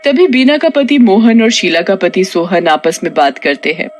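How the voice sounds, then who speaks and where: A woman's voice: mid-range voice, moderate pace, faint music behind. A woman reading a scripted horror story narration for a cartoon tale.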